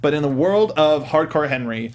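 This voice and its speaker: A man's voice: a little high, expressive, moderate pace. A young man hosting a casual panel chat in a podcast studio, speaking into a close mic. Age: young adult. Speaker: podcast host. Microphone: close studio mic with headset.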